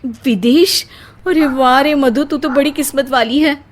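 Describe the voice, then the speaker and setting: A woman's voice: high voice, a little slow. A woman voicing an envious, demanding young wife in a family story, insistent and exclamatory. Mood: envious, curious. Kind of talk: dramatised Hindi family storytelling.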